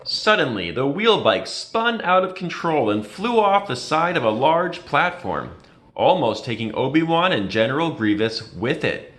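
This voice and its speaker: A man reading a children's story aloud with expressive, dramatic storytelling. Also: fairly high pitch, a little slow. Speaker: reader.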